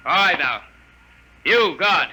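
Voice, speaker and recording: An actor playing an arrogant, bullying military lieutenant in a 1950s radio drama, barking and imperious. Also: male voice, sits high, animated, slow pace, bright, forceful tone, exaggerated voice, poor audio. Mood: contemptuous command. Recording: old radio recording with faint noise.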